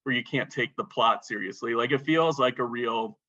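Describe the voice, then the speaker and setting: Animated, bright-voiced man chatting about films. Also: mid-range voice, moderate pace, muffled recording. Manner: animated, filler-heavy talk with lots of "like". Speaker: podcast co-host. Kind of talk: casual movie podcast chat.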